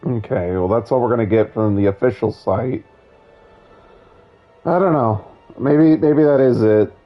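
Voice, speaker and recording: A hoarse man losing his voice, giving casual, opinionated, digressive commentary on a video game. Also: mid-range voice, unhurried, lo-fi sound, faint music behind. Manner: opinionated, digressive, many restarts.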